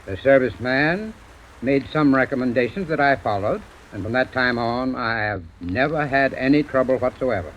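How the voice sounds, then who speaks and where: A man giving a formal, scripted 1930s radio advertisement as a heating expert. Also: medium pitch. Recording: dull, noisy vintage radio recording.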